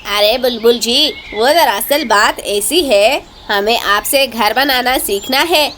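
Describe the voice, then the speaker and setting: Woman voicing a high-pitched lazy cartoon crow. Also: slow, fairly bright tone, slight background noise. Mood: eager. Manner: exclamatory, urging. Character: lazy, persuasive male crow leading the birds. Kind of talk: Hindi cartoon story dialogue.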